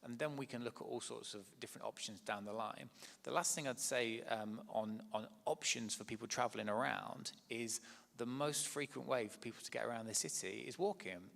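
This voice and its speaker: Gravelly man on a hall panel. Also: mid-range voice, a little fast. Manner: earnest, steady policy talk with some hesitations. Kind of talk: symposium panel talk.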